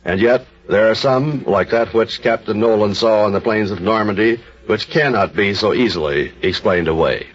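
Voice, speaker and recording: A man giving formal scripted narration to introduce a tale in old radio style, with a husky voice. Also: fairly low pitch, normal speed, few pauses. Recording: muffled old radio recording.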